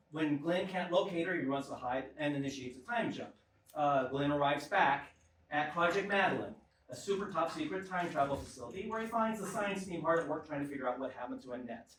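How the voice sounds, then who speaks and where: A man with a fairly high voice introduces a script to a live audience in an informal, emphatic way. He sounds distant in a very echoey theatre, with some light noise around him. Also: fairly bright tone. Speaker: presenter and narrator of a live screenplay table read. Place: small live theatre stage.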